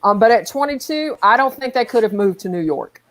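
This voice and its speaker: A woman's voice: a little high, animated, fairly quick, fairly bright tone, poor audio. A woman, a talk show host who chats with a guest and asks long questions. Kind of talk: interviewing a guest.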